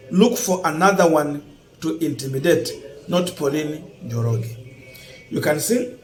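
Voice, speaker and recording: A Kenyan man hosting online political commentary, speaking emphatically and deliberately and repeating points for stress. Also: on the high side, slight background noise, low-quality recording. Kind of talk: political news commentary to camera.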